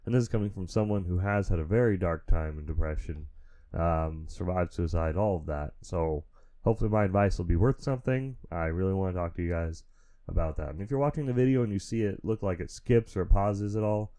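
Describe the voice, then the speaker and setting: Deep-voiced man, casual, rambling. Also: fairly quick, warm tone. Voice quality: naturally deep voice. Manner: rambling, frank, chuckles often. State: feeling sick, stuffy. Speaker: podcast host. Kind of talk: casual solo chat. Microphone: studio mic through audio interface.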